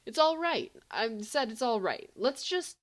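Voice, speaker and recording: A woman's voice: on the high side, big pitch swings, measured pace, voice pushed up. A woman voicing a brash, joking teenage boy in audiobook dialogue, rambling and emphatic. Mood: joking, excited.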